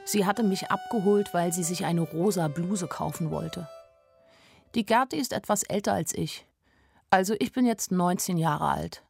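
A woman's voice: fairly low pitch, normal speed, gravelly, breathy edge, dry recording, faint music behind. An actress playing a candid, naive nineteen-year-old woman who tells her own story plainly in a radio drama. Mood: matter-of-fact. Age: young adult. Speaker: actress narrating as the main character. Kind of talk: radio drama first-person narration.

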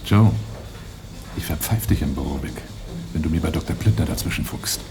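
A man's voice: rumbling low, fairly quick, music in the background. An actor playing a guarded, serious man in a radio play, with a terse, dry and restrained way of talking. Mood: stern, warning. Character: guarded, serious man, weary brother of a convict. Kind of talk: 1980s German radio play acting and narration.

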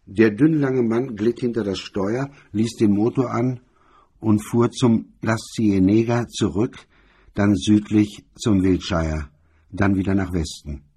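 A man's voice: on the low side, steady tone, slow, mellow, slightly rough. A man narrating a hard-boiled crime story as a scripted reading.

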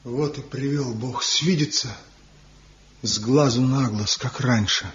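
A man's voice: medium pitch, slow pace, breathy edge. An actor in a 1970s radio play voicing a man back after seven years, impassioned and pleading. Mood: tender. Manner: impassioned, pleading, swinging between grief and resolve. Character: man returned after seven years, passionate, tormented lover. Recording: dull lo-fi old radio recording.

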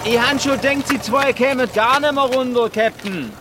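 A nervy swabian sergeant, highish voice, careful, radio play, car and street noise around him. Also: male voice, moderate pace. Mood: wary, uneasy. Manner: dialect-speaking, cautious. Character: wary Swabian army sergeant, respectful but anxious. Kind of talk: radio drama acting. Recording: noisy outdoor street with vehicle. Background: car and outdoor ambience.

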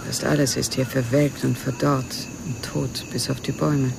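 An actress playing a curt, wistful lady returning to her old home in a German radio play, with a creaky voice. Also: very deep voice, flat delivery, normal speed, exaggerated voice. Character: brusque, melancholy lady returning to her former house. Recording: outdoor ambience with clear background noise.

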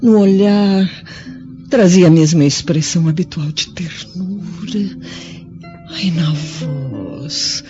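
An actress with a Brazilian accent playing a dying, remorseful Roman widow in a radio drama, speaking formally and sorrowfully. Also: female voice, fairly low pitch. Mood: anguished, reverent. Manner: slow, formal, sorrowful, theatrical. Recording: dull lo-fi audio with music behind.

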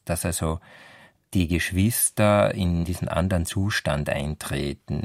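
A man giving unscripted scholarly commentary on literature, halting and pausing between phrases as he thinks. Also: low voice, no room sound, studio quality.

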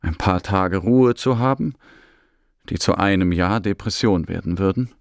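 A man acting in a radio drama as a depressed Syrian exile, recounting refugee life in a creaky, measured, matter-of-fact way. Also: low-pitched, no room sound, studio quality. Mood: sober, reflective. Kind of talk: scripted radio drama monologue.

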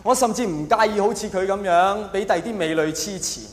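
A man with a Hong Kong Cantonese accent performing a mock-earnest stand-up monologue with dramatic pauses and emphatic delivery. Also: high voice. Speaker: stand-up comedian. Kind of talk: stand-up comedy monologue to a live audience. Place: large indoor venue with live audience. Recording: echoey hall with audience noise. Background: audience laughter and crowd noise.

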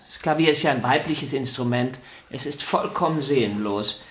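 An actor playing an otherworldly, earnest violin virtuoso in a radio play, speaking with precious, formal politeness. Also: male voice, medium pitch, normal speed, a bit bright, echoey room, lightly acted.

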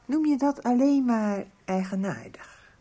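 An actress playing a sharp, accusing, anxious middle-aged mother in a radio soap, with a husky voice. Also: fairly high pitch, unhurried. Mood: accusing, sarcastic.

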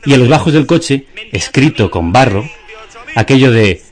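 An actor playing a deadpan, pedantic philosopher of the absurd in a radio theatre dialogue. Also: male voice, medium pitch, moderate pace, close to an everyday voice. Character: deadpan absurd philosopher musing over news headlines.

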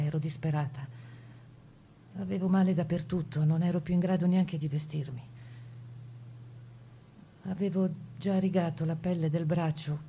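An actress playing a captured partisan woman who recounts her ordeal in a grave, intimate, husky stage monologue. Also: on the low side, mellow, a little hiss or hum. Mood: desperate, then resolved. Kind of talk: dramatic monologue of wartime testimony.

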